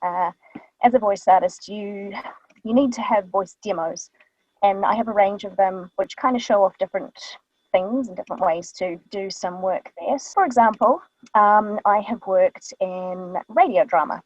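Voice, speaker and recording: A woman's voice: mid-range voice, normal speed, darkish tone, dry recording. Chatty New Zealand woman in a booth. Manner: chatty, many ums, lists items. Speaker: voice artist guest. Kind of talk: casual explanatory talk. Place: small vocal booth. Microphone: studio microphone. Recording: dull, dry booth sound.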